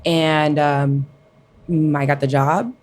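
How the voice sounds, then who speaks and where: A woman's voice: sits low, fairly even intonation, takes it slowly, darkish tone, slight background noise. A woman in her twenties, raised in Colombia and New Jersey with Spanish as her first language, chatting informally as a podcast guest. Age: 20s. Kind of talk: casual chat, personal storytelling.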